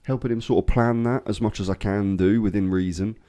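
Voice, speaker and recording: Low-voiced english guy getting over a cold, lively up-and-down delivery, warm dark sound. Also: brisk. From England. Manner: swears freely, lively storytelling. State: recovering from a cold. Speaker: podcast co-host. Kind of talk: casual chat.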